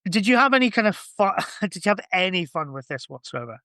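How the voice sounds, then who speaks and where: A man's voice: high-pitched, sing-song, brisk, sharp tone, no room sound, studio quality. A man in casual podcast conversation, thinking aloud in a rambling way. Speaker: podcast guest or co-host. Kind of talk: casual chat.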